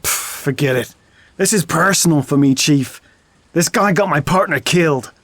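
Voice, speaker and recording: A man's voice: fairly high pitch, moderate pace, fairly bright tone, no room sound. A man playing a brash, hot-headed undercover detective in an audio drama, sarcastic and combative, with a mixed accent that has Irish and London traces. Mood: angry, combative.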